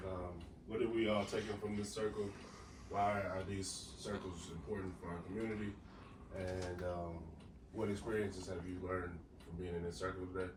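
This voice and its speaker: A man in his thirties speaks in a low voice, measured and reflective, as he leads the closing round. He is recorded from across an echoey room with steady noise underneath. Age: 30s. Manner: measured, reflective, says "basically" often. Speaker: circle facilitator. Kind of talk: closing remarks in a circle. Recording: echoey room, distant mic, background noise.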